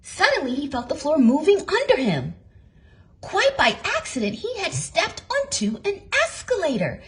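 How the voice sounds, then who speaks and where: A woman reading a storybook aloud for toddlers with expressive, dramatic and deliberate emphasis. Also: high voice. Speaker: storybook reader.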